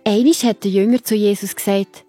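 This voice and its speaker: Female children's narrator, mellow and husky, Swiss German accent, faint music bed. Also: mid-range voice, fairly even intonation, a little fast, no echo. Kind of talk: scripted children's story narration, addressing the listener.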